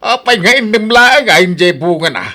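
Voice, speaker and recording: Cranky old ilocano husband, radio drama, animated pitch, sharp scolding complaints. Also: male voice, moderate pace. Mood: indignant, grumbling. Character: grumpy, stingy old husband guarding his fruit trees. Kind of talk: Filipino radio drama acting.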